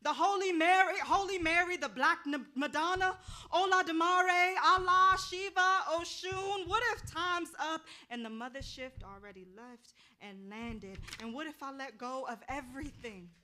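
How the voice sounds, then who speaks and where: A woman's voice: extremely high pitch, moderate pace, sharp tone. A woman in a staged play reading, playing a bitter, defiant, hard-drinking woman with profane, slangy, dramatic delivery. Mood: desperate, ranting.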